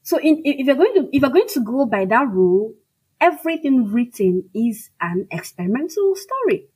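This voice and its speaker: A woman's voice: high-pitched, sing-song, moderate pace, darkish tone, breathy edge, poor audio. A woman from Nigeria, a native Igbo speaker with a Nigerian accent, answering as a writer in an emphatic, conversational way. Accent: Nigerian Igbo-language. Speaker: fiction writer being interviewed. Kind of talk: conversational, reflective interview answers.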